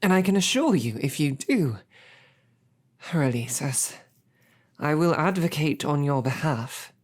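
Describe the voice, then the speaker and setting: Woman acting a low-voiced, husky, snarky lady knight. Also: expressive, measured pace, a little mellow. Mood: defiant, stern. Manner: formal, chivalrous phrasing mixed with dry sarcasm. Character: snarky, defiant captive female knight, loyal to her princess. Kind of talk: scripted audio roleplay drama.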